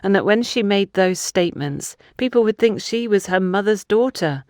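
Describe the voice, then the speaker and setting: A woman's voice: medium pitch, lively intonation, moderate pace, darkish tone, no echo, studio quality. A woman reading scripted fiction as an audiobook narrator.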